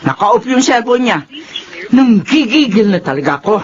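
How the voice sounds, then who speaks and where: A woman's voice: on the high side, sing-song, moderate pace. A muffled radio drama recording with faint noise carries a stern Filipino mother. She is suspicious and confrontational, and her voice swings widely as she exclaims. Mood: exasperated, fuming. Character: stern, suspicious, hot-tempered mother of grown daughters. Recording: dull lo-fi radio sound, slight noise.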